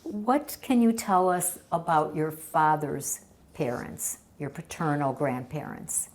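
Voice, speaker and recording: A woman interviewing a survivor for an oral history, asking brief, gentle questions. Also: low-pitched, expressive, slow pace.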